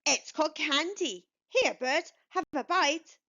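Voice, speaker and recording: Storybook beaver, played by a woman: excitable, stubborn sweet tooth, high and swooping, emphatic, slightly muffled recording. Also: slow, heavily acted. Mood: eager.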